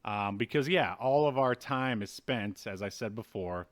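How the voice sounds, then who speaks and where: A man in his thirties co-hosting a movie review show, recapping a film in a casual, wry, halting way. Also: on the low side, lively intonation. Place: indoors at home, video call.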